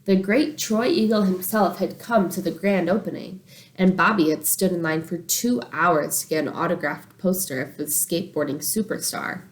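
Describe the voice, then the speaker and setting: A woman reading a children's book aloud like a teacher, expressive and animated, acting out the dialogue. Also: on the low side, moderate pace, rough recording.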